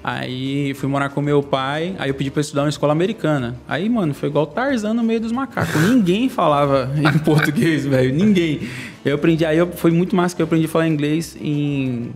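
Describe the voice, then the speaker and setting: A young man from Brazil, a podcast guest, telling his life story in a fast, slangy, candid way. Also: a little high. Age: young adult. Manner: fast, slangy, candid, laughs between lines. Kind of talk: casual storytelling interview.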